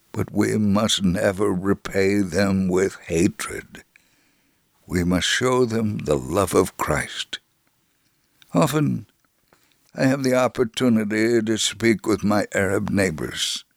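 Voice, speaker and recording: A man narrates a devotional testimony in a low voice, very slowly and deliberately. The delivery is earnest and measured, and the recording is dry and close. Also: vocal fry, dry recording. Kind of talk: scripted first-person narration.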